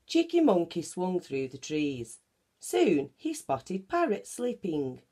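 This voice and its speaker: Woman, children's story reader, slightly low voice, very unhurried, animated, picture-book narration. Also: slightly rough. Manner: animated, deliberate, acts out sound effects. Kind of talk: expressive picture-book read-aloud. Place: small bedroom at home, indoors.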